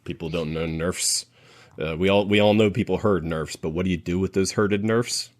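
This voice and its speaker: A man hosting a casual panel chat, speaking in a rambling, halting way as he thinks aloud. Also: low voice. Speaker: podcast host.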